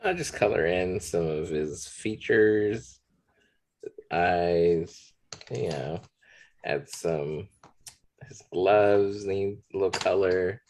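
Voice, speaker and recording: Gravelly low-voiced man drawing along. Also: takes it slowly. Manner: relaxed, talks while drawing. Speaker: art instructor. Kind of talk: drawing demonstration, thinking aloud.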